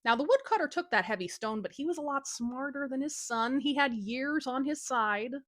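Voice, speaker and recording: A woman tells a folktale with very lively, swooping pitch and an informal, cheeky delivery. Her voice is recorded close and dry, with little room around it. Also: moderate pace, dry recording. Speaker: storyteller, host. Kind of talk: casual scripted folktale retelling.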